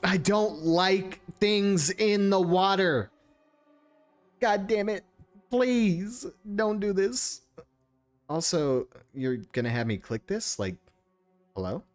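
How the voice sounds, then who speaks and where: A man's voice: high voice, animated, takes it slowly, pauses often, soft background music. A man giving casual playthrough commentary as a gaming streamer, with an irreverent, joking, sweary manner.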